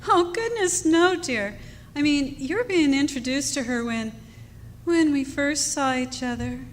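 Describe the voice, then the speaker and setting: Woman acting a very high-voiced, gushing young sweetheart. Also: unhurried. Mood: sweet, eager. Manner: coy, cooing, effusive. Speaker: actress in a live radio play. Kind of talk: old-time radio drama acting. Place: club hall stage. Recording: noisy reverberant hall.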